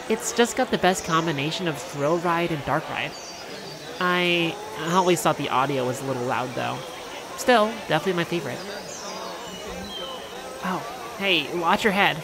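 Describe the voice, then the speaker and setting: A performer voicing a cheerful, affectionate girlfriend on a theme park date, speaking gently and unhurriedly in a one-sided ASMR roleplay. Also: mid-range voice, expressive, noisy background, lightly acted. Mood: upbeat. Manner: gentle, unhurried, softly conversational.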